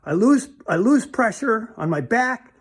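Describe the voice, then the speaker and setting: A man's voice: high voice, big pitch swings, measured pace, breathy edge. A man giving a casual, plainspoken repair demonstration, thinking aloud informally as he handles parts. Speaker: DIY car repair demonstrator.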